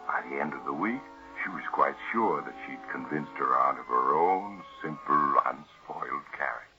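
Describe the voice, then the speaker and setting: An actor playing an ominous, mysterious storyteller, narrating a 1940s radio mystery. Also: male voice, very deep voice, lively intonation, moderate pace. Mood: measured, ominous. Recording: muffled vintage radio recording. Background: music.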